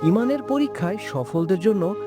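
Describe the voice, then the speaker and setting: A man gives a scripted Islamic exhortation in a somewhat high voice. His pitch moves in a lively way, with loud music behind the words. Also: normal speed. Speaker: religious narrator.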